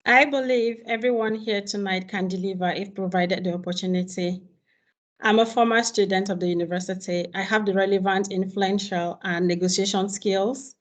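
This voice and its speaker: A woman's voice: mid-range voice, steady tone, moderate pace. A woman candidate giving a prepared campaign statement over a video call, earnest and listing her points steadily. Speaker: rector candidate.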